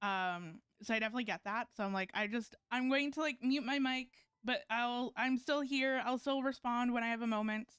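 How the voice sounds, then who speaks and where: Casting: female game streamer, fairly high, smooth voice, casual rambling chat on a close, dry mic. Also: fairly quick, few pauses, a bit bright, no echo. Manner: chatty, hesitant phrasing. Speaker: game livestreamer.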